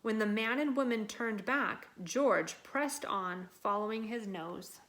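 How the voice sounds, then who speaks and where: A woman reading a children's picture book aloud in an expressive, dramatic and deliberate way. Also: medium pitch. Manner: dramatic, deliberate delivery with acted sound words. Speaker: storybook reader.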